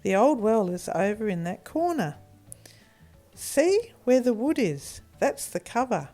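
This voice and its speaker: A woman's voice: on the high side, sing-song, a little slow, dark tone, soft background music. A woman voicing an eager, knowing young farm boy with a matter-of-fact manner in a children's story.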